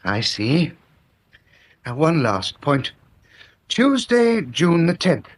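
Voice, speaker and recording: English coroner for radio crime drama: fairly high male voice, official, methodical, precisely worded. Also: sing-song, slow, full character voice. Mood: formal, probing. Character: formal, methodical coroner questioning and summing up.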